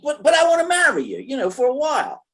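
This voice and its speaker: A man's voice: extremely high pitch, wildly swooping pitch, fast talker, fairly bright tone, full character voice. A performer playing a dim, lovestruck male gangster in an old-time radio play, stammering and bumbling in slangy talk. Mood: lovestruck, flustered.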